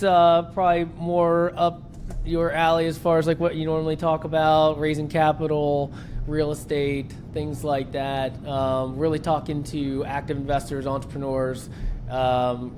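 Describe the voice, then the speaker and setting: A man from West Virginia hosting a podcast, chatting in an upbeat, friendly, casual way. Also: on the high side. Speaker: podcast host. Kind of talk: casual pre-interview chat. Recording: steady background noise.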